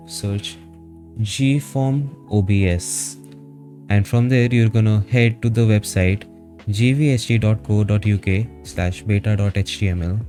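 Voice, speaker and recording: A man narrating a step-by-step software tutorial in a casual, instructional manner, as a streamer would. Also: lowish voice. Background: background music.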